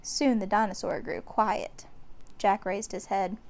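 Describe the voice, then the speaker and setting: A woman's voice: medium pitch, steady tone, unhurried, a little mellow. A woman narrating a children's book aloud, giving the descriptions dramatic emphasis. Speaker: storyteller.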